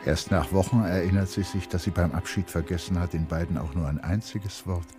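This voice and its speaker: A male narrator with a deep voice tells a literary story for a radio play. Faint music sits behind him. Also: fairly even intonation, a little fast.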